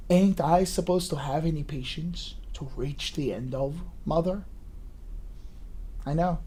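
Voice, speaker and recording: A man acting a trapped, bitter son in a theatrical monologue, swinging between hushed and shouted delivery. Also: fairly high pitch. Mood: weary, restrained. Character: trapped, bitter young son arguing with his mother.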